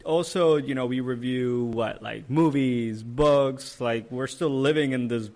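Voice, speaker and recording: A young man, born and raised in Puerto Rico with Spanish as his first language, co-hosts a podcast as a therapist. His voice sits at a middling pitch for a man, and he speaks casually, pausing on "um" and "uh" and often restarting his words. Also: a little mellow. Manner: hesitant, many "um" and "uh", repeats words. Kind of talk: casual chat.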